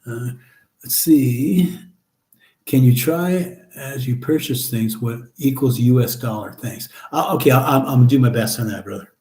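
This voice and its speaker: Relaxed middle-aged man, echoey room. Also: medium pitch, moderate pace. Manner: relaxed, chatty, reads viewer comments aloud and replies. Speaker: livestream host answering viewer chat. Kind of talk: casual live chat.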